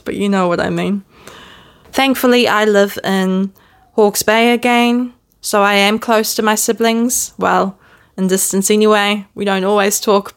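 A woman with a New Zealand accent hosting her own podcast, talking to camera in a gentle, candid, self-deprecating way, filmed at home. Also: mid-range voice, creaky. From born in the Netherlands, raised in New Zealand. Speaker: podcast host. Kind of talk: casual reflective monologue to camera. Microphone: self-filmed video camera.

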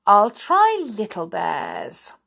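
A woman voicing a curious, fussy little girl in a children's storybook, with expressive, childlike emphasis. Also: medium pitch, drawn-out words, gravelly, full character voice.

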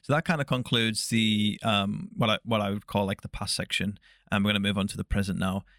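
A young man hosting a podcast, with a low voice, recorded dry and close. He asks long, meandering questions padded with fillers and restarts. He keeps a relaxed, chatty interview going. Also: brisk, dry recording. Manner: rambling questions with many fillers. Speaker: podcast host. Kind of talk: casual interview.

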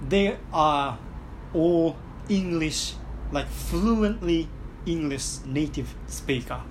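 Halting man over background noise. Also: a little high, rough recording. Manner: halting, searching for words with many fillers. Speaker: conversation partner. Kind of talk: casual chat.

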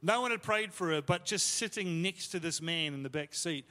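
Chatty preacher in a reverberant hall. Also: male voice, fairly high pitch, moderate pace, sharp tone. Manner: conversational, self-deprecating, lots of fillers. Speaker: pastor. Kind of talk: sermon storytelling.

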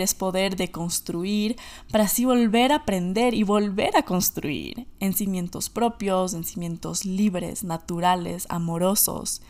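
A woman with an Ecuadorian Spanish accent hosts a personal podcast monologue, speaking reflectively and deliberately, repeating phrases for emphasis. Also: medium pitch, a little mellow. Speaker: podcast host.

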